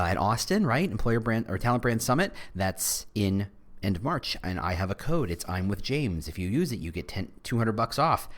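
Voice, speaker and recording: A middle-aged man hosting a casual solo podcast, rambling with frequent restarts and asides. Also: fairly low pitch. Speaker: podcast host.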